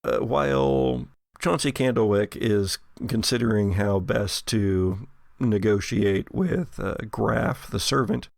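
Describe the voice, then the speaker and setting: Slow, creaky-voiced male game master. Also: lowish voice, lively intonation, dry recording, studio quality. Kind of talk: improvised narration of horror tabletop game.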